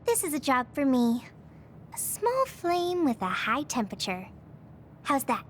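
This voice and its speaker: A woman's voice: high-pitched, sing-song, measured pace, slight background noise. A woman playing a cheerful, earnest young fire-wielding teammate in an anime game dub. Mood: warm, eager. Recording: background music under the voice.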